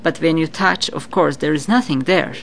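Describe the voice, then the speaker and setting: A woman's voice: low-pitched, normal speed, gravelly, a little hiss or hum. A middle-aged woman with a Turkish accent, a Turkish native speaker, answering interview questions as a psychic in an earnest, explanatory manner and repeating words for emphasis. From Turkey. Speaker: psychic guest.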